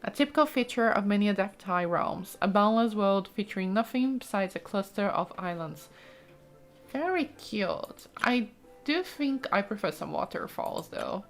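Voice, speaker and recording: A woman's voice: medium pitch, lively intonation, normal speed, vocal fry. A woman giving casual, chatty let's play commentary with an enthusiastic, cooing manner. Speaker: gaming video host. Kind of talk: casual let's play commentary, reading game text aloud.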